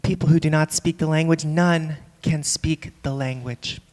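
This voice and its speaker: In a reverberant theatre, a woman performs a melancholy video blogger on stage. The delivery is measured, earnest and deadpan, musing like a philosopher. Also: low voice, natural voice. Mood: brooding. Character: melancholy, earnest, philosophizing online cabinet-review vlogger. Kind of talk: live staged play monologue. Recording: echoey theatre hall, live stage.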